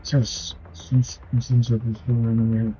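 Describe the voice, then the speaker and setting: A man doing gameplay commentary, reading game text out loud as he plays. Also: mid-range voice, level tone, unhurried. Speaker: gaming video host. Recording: dull lo-fi mic, faint music behind.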